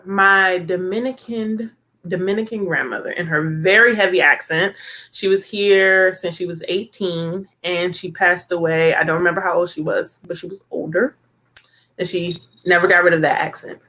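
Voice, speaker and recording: A woman hosts a relaxed talk show, speaking with a bright, forward tone. She chats casually, tossing in fillers and asking "right?" as she goes. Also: fairly low pitch. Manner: chatty, with many fillers and tag questions. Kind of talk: casual chat.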